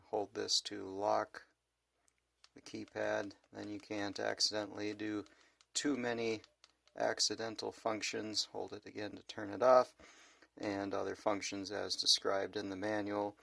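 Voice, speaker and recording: A man's voice: fairly low pitch, monotone, a little slow, creaky, a bit bright, dry recording. A man giving a casual, laid-back product review in a plain-spoken way. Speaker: gadget reviewer and vlogger.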